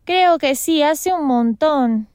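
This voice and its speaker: A woman playing a young Argentine daughter in an audio drama, talking in an affectionate, brisk, reassuring way. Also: high-pitched, animated, a little mellow, no room sound, clean studio sound.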